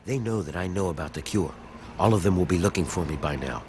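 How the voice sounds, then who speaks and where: Very deep-voiced rebel schemer in a game. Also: male voice, lively intonation, fast talker, a little mellow, slight background noise. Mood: urgent, conspiratorial. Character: conspiring rebel man recruiting an outsider for theft. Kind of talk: video game voice acting.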